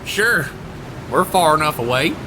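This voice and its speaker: High-pitched blunt boatman, played by an actor, engine rumbling. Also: big pitch swings, measured pace. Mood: curt. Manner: folksy, blunt. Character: gruff, folksy male boatman with a curt temper. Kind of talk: audio drama acting. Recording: engine rumble and noise behind.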